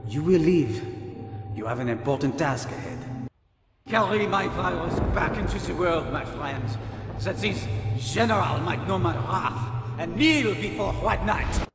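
An actor playing a pompous, theatrical scientist villain with a messianic streak in a video game. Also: male voice, high voice, expressive, unhurried. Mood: grandiose, menacing. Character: grandiose male scientist villain, messianic and pleading. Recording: echoey reverberant hall with music behind.

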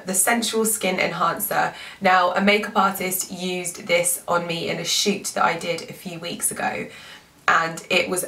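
Young woman with a blocked-up cold voice, bright tone, chatty beauty vlogger, echoey room, mic a bit back. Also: fairly low pitch, gravelly, distant mic. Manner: chatty, frequent "like" and "um". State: congested, lost voice, sore throat. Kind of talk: casual product review.

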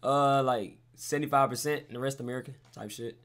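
A man's voice: medium pitch, steady tone, brisk, a bit bright. A young man streaming video chats with strangers, talking in laid-back slang and teasing. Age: young adult. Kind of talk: casual video chat. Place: bedroom with purple lights.

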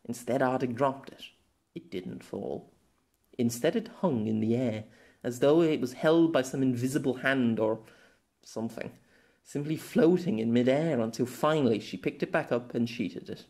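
A man's voice: medium pitch, normal speed, darkish tone. A man giving a dramatic scripted narration of a fantasy novella as its narrator.

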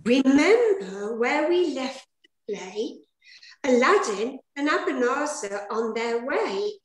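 A woman's voice: high voice, very animated, slow pace. A middle-aged woman directing and narrating a pantomime, giving stage directions in a theatrical manner. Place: indoors at home, on a video call. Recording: echoey room on video call.

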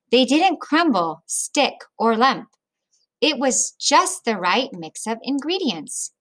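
A middle-aged woman reading a picture book aloud to children like a teacher, with expressive, deliberate phrasing. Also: fairly high pitch. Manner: deliberate phrasing with explanatory asides to young listeners.

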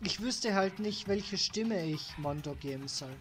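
A woman's voice: on the low side, expressive, measured pace, soft background music. A woman in her twenties with a Styrian Austrian accent, reading game text aloud and chatting on a live stream. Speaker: let's play streamer.

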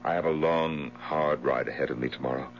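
An actor playing a steady, concerned gentleman friend, formal, earnest and deliberate, in a 1970s radio drama. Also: a bass voice. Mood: reasoning. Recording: muffled, noisy 1970s radio broadcast with hum.

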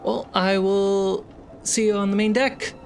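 Slow, measured male game master. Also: sits high, steady tone, a little hiss or hum. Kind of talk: unhurried tabletop game narration.

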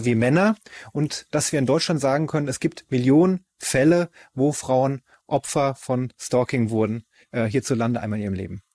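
A man's voice: medium pitch, darkish tone, no echo. A man from explaining as an expert in an interview, matter-of-fact and didactic. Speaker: expert commentator on stalking.

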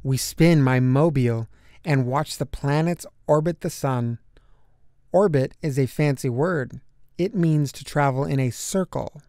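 A man narrating a children's picture book aloud, with deliberate, expressive phrasing for young listeners. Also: on the high side, no room sound, studio quality. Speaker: storybook narrator.

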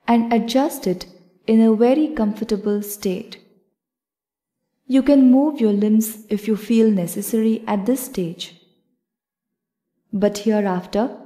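A woman yoga instructor leading a guided meditation in a calm, measured, instructional way. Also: on the high side.

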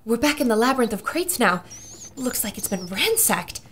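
An actress playing a sharp-witted adventurer in a pulp radio serial, brisk, articulate and dramatic as she reports to listeners. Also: on the high side, sing-song, slight background noise. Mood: keen, deducing.

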